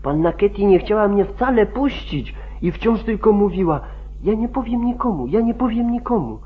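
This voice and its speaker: Frightened, pleading young farmhand, phone-like sound. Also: male voice, high voice, lively intonation, moderate pace, breathy edge. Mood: agitated, explaining. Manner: pleading, repetitive, protesting. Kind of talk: old radio drama acting. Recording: muffled, narrow-band 1940s radio recording.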